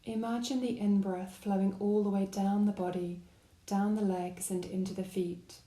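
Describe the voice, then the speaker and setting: A woman leads a guided meditation in a dark, mellow voice. Her phrasing is gentle and calm, offering unhurried instructions. The recording is roomy, with audible reflections around her voice. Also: mid-range voice. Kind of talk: guided body scan meditation narration.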